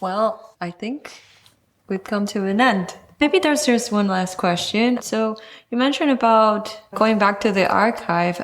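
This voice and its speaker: A woman co-hosting a podcast, putting questions to a guest in a conversational way. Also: medium pitch. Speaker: podcast co-host interviewer.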